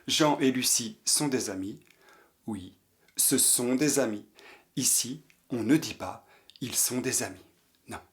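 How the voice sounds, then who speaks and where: A man's voice: mid-range voice, slow pace, pauses often, slight background noise. A man teaching a language lesson, patiently repeating each example sentence for learners to copy. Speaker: French teacher. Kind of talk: slow French lesson for learners.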